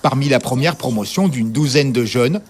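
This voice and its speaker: A man's voice: mid-range voice, normal speed. A man narrating a scripted television news report as the reporter.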